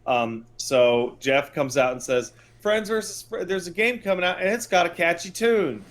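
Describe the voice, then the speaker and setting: Exasperated, higher-voiced male podcast co-host. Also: animated, moderate pace. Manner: exasperated, hyperbolic, emphatic. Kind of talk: casual podcast chat, ranting commentary.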